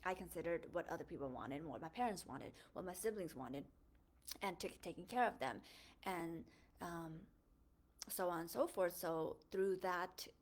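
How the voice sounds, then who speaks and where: Young woman, slightly low voice, reflective personal monologue, earnest, pauses and restarts often. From born in a refugee camp in Thailand, Hmong, raised in the United States. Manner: earnest, thoughtful, with frequent fillers and self-corrections. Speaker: host of a faith podcast.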